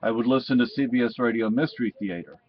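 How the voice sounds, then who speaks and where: A man giving a casual, unscripted product review in a halting, rambling way. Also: medium pitch. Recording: dull, muffled lo-fi sound.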